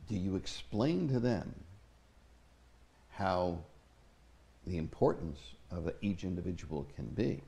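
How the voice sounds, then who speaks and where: An older man hosting a talk show, asking measured interview questions with emphatic rises in an ornate living room. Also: low voice, lively intonation, creaky, dark tone.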